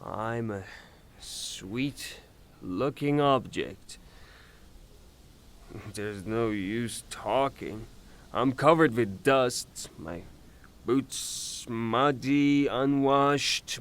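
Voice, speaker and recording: A man's voice: medium pitch, smooth. A man playing an angry, blustering, woman-hating creditor, ranting theatrically in an audio drama. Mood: weary, grumbling. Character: angry blustering male creditor, hot-tempered woman-hater.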